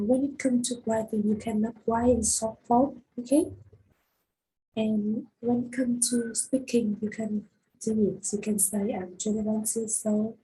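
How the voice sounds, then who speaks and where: A woman's voice: on the high side, mellow, breathy edge, poor audio. A young woman in her twenties with a Vietnamese accent, teaching a recorded grammar lesson with many hesitations and fillers. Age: 20s. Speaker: English teacher.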